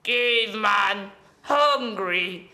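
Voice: mid-range voice, drawn-out words, creaky, crowd noise. A teenager acting a hungry, simple-minded caveman who grunts in broken English in a stage play. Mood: blunt, hungry. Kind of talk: school readers theater performance. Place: school stage in an auditorium.

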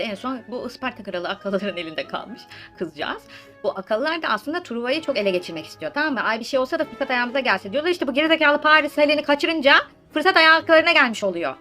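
A woman's voice: on the high side, lively intonation, fast talker, sharp tone. Gossipy, theatrical woman storyteller over music. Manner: gossipy, chatty, theatrical, exclaiming asides and rhetorical questions. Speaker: storyteller host of a comedic mythology series. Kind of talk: comedic casual storytelling, retelling myths in slang.

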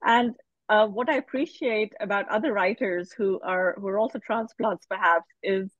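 A woman novelist on a video call, reflecting slowly and often restarting her phrases. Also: medium pitch, a bit bright, no room sound. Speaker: novelist panelist. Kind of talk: casual panel discussion. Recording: dull video call audio.

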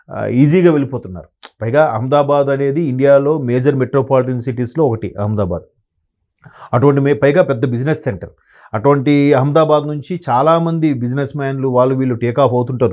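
Guy analyst rambling on, sombre, lots of restarts, mid pitch. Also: a little mellow. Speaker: analyst guest. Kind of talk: conversational commentary.

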